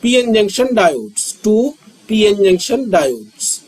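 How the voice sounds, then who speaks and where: Slow, high-voiced physics teacher. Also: male voice, big pitch swings, darkish tone, husky, low-quality recording. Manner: repeats key terms, pauses often. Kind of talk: slow, repetitive classroom lecture.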